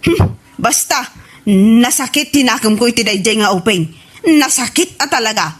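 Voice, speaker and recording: Excitable Ilocano village woman for radio comedy; high, swooping voice, opinionated and emphatic. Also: moderate pace, breathy edge. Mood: persuasive. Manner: emphatic, expressive. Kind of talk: radio comedy drama acting.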